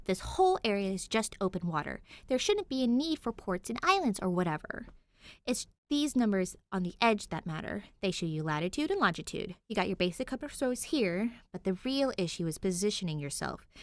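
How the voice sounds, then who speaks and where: A woman's voice: medium pitch, no room sound. A woman voicing a sassy, blunt girl who loves maps, in acted dialogue for a fan fiction reading. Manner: deadpan, animated when explaining.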